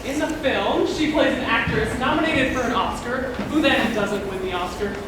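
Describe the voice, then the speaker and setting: A woman's voice: fairly high pitch, moderate pace, lightly acted. A young woman playing an aggrieved, theatrical middle-aged sister in a school stage play. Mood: amused. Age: teens. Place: indoor theatre stage at a live performance. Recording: very echoey, noisy stage, distant mic.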